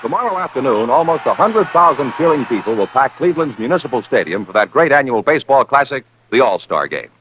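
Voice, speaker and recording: A man's voice: medium pitch, very animated, quick pace, few pauses, slight background noise. A man with an American accent reading a scripted 1950s radio advertisement in a polished, emphatic announcer's style. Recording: muffled old-time radio recording.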